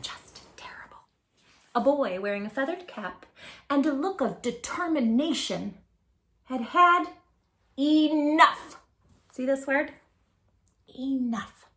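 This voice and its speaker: A woman with a bright, very lively voice hosts a children's storytime in a roomy space with music behind her. She takes her time, stressing words dramatically and checking in with her young listeners. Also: sits high, slow, long pauses. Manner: animated, asks listeners questions, dramatic emphasis and hushed asides. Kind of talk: expressive picture-book read-aloud for young children.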